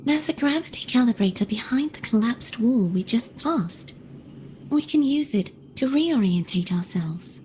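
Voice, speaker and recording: A woman playing an earnest, gentle young adventurer in a video game, soft-spoken and easily flustered. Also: a little high, animated, unhurried, slight background noise. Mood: focused.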